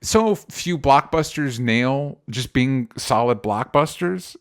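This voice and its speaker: A man chats as the host of a casual pop culture podcast. He speaks in an ordinary mid-range voice, often halting and doubling back on himself. The wording is loose and informal. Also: mid-range voice, lively intonation, smooth. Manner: rambling, halting, self-interrupting.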